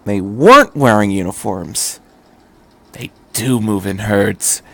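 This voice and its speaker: A man's voice: lowish voice, animated, slow, sharp tone. A man reading fan fiction aloud as an amateur, with casual rambling asides, often stammering and repeating himself.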